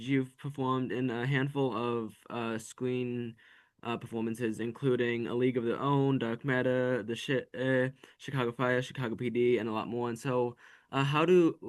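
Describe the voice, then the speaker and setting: A man's voice: medium pitch, clean tone. A man hosting a podcast interview, putting prepared questions to his guest.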